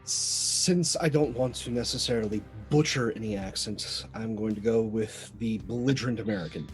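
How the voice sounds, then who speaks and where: A man playing in a tabletop game, talking through rules in a measured, hesitant way with frequent ums. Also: lowish voice, faint music behind. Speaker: player. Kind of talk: casual chat while building a character.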